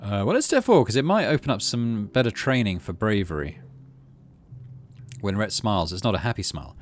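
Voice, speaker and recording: A man's voice: fairly low pitch, very animated, a little fast. A middle-aged man giving casual let's play commentary and story narration, thinking aloud as he goes. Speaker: gaming video host.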